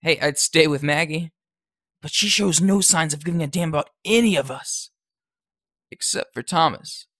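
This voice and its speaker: A man's voice: fairly high pitch, moderate pace, fairly bright tone, dry recording, studio quality. A young man playing a defiant, sarcastic, foul-mouthed son in an audio drama. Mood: defiant, angry. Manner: sneering, sarcastic.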